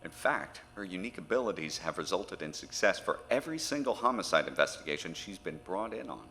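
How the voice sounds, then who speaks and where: Male actor as federal agent, low forceful voice, formal, earnest, persuasive, horror audio drama, long hall-like reverb. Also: big pitch swings, a little fast, heavily acted. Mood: diplomatic, insistent. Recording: very reverberant, with noise behind.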